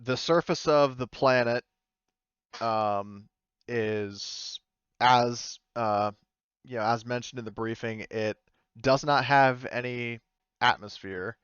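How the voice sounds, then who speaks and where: A man's voice: mid-range voice, slow, fairly bright tone, smooth, no echo, lo-fi sound. A man acting as game master, narrating casually and informally with some swearing.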